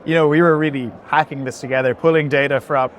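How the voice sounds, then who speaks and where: A man's voice: a little high, steady tone, fast talker, fairly bright tone. A young man from Ireland with an Irish accent, chatting casually as a guest founder in an interview. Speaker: podcast guest, startup founder. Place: indoor event venue, recorded in person.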